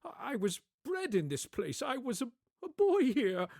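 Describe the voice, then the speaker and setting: A man's voice: very high voice, wildly swooping pitch, unhurried, a little mellow, dry recording, clean studio sound, voice pushed up, heavily acted. A man playing a fretful, bewildered old miser in a storybook radio play, querulous and hesitant. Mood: pleading, moved.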